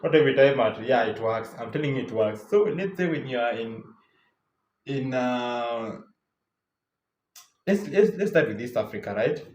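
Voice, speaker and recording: Young kenyan man, travel vlogger, rambly and casual, average pitch, faint room hum. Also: expressive, normal speed, long pauses, darkish tone, echoey room, poor audio. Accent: Kenyan English. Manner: loose and chatty, lots of repeats and fillers. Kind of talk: casual travel advice talk.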